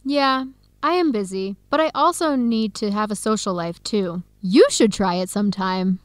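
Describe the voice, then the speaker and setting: A woman playing a cheerful, adventurous young American in a scripted radio drama, speaking clearly and brightly with an American accent. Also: medium pitch, moderate pace, no room sound, close to an everyday voice. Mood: friendly, upbeat. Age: young adult.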